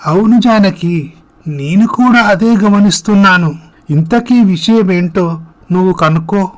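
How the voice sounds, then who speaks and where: A man's voice: high-pitched, a little slow. A man voicing a concerned, affectionate older father in a dramatized moral story.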